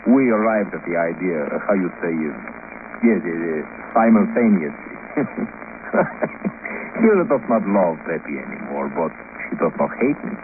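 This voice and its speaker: Very low-voiced, easygoing chauffeur. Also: male voice, expressive. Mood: amused, rueful. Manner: chuckling, unhurried, halting non-native English. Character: fat, cheerful South American ex-tennis champion turned chauffeur. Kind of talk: 1950s radio detective acting. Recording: noisy, tinny old radio recording.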